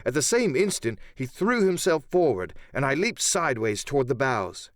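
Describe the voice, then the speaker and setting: A man's voice: mid-range voice, sing-song, normal speed, no room sound, clean studio sound. A man giving a dramatic first-person narration of an adventure story as an audiobook narrator.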